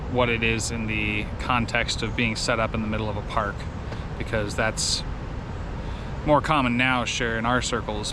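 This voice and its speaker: A man chatting casually to viewers in an enthusiastic, rambling way, like a hobbyist vlogger. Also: medium pitch. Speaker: amateur radio vlogger. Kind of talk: casual vlog chat to viewers. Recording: clear outdoor ambient noise, passing cars.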